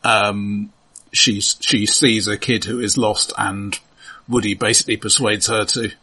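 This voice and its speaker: A man's voice: on the low side, flat delivery, unhurried, sharp tone, breathy edge. A man co-hosting a casual film discussion, speaking hesitantly and often repeating his opening words. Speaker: film podcast co-host.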